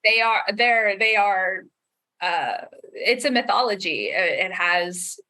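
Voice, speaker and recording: A woman's voice: medium pitch, takes it slowly, bright, forceful tone. A young woman chatting casually as a panel guest, explaining her points like a teacher.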